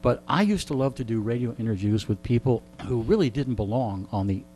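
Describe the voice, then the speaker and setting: A man telling jokey anecdotes in conversation as a radio interviewee, with deadpan punchlines and repeated phrases for effect. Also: medium pitch, brisk, soft background music. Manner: jokey, deadpan storytelling with rhetorical repetition. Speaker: radio host being interviewed. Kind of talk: animated comic anecdotes in conversation.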